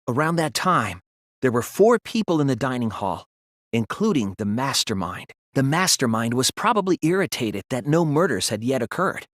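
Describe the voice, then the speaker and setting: A man's voice: medium pitch, a bit bright, no echo, clean studio sound. A man acting an earnest, sensitive and anxious teenage boy detective in a dramatic video game dub. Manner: earnest, measured explaining that turns to strained pleading.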